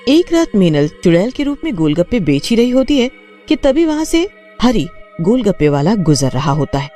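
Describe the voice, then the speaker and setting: Male narrator, mellow relaxed tone, scripted cartoon storytelling, faint music behind. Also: high voice, normal speed.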